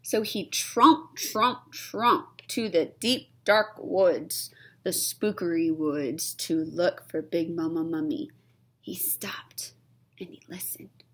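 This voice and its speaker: A young woman reading a children's storybook aloud, expressive and suspenseful, stretching key words and dropping toward whispers. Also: lowish voice, takes it slowly, breathy edge. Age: young adult. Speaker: read-aloud storyteller, teacher. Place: small classroom, indoors.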